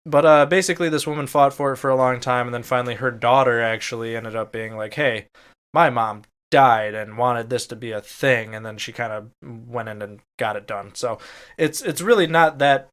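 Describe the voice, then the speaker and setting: A man's voice: mid-range voice, normal speed, fairly bright tone, smooth. Man chatting casually as podcast co-host. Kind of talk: casual chat.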